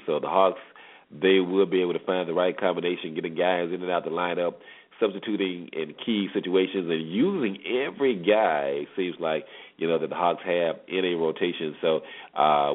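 A man hosting a sports show, giving conversational commentary and recaps with pauses and repeated words. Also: low-pitched. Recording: tinny narrow-band phone-like sound.

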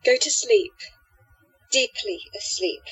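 High, husky seductive hypnotist woman, played by an actress. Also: wildly swooping pitch, slow, fairly bright tone, faint music behind, exaggerated voice, rough recording. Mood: hypnotic command. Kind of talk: radio play acting.

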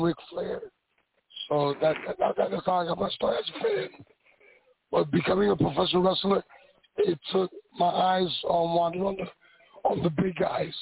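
A man from New York who has lost his voice, answering interview questions in a raspy, halting way with many pauses. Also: fairly high pitch. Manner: halting, many ums and repeats. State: hoarse, lost voice. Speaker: guest wrestler. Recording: tinny phone line.

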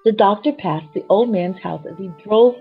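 A woman storyteller with a husky voice reads a children's bedtime story expressively, with chatty asides to young listeners. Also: medium pitch, moderate pace, soft background music. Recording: dull, lo-fi sound.

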